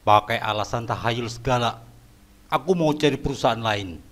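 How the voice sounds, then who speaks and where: An actor playing an arrogant, greedy middle-aged village head who barks orders scornfully. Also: male voice, medium pitch, normal speed, a bit bright, natural voice. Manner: bossy, scornful, barking orders. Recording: background noise and ambience.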